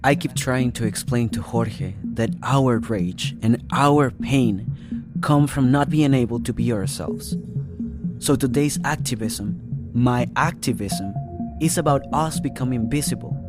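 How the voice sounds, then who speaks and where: A man reading a scripted first-person story as its narrator. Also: medium pitch. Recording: studio narration over music. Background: music.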